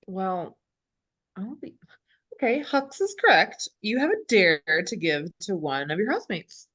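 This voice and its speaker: Seeking a woman to play a commanding game show host, animated melody, unhurried authority, informal table read. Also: medium pitch. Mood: presiding. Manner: deliberate, authoritative.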